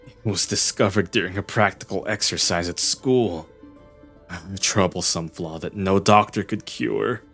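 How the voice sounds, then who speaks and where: A man playing an aloof, eccentric young detective in an anime dub, with a deadpan, theatrical delivery and a weary air. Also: deep voice, measured pace, vocal fry, soft background music, exaggerated voice. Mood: weary, gloomy.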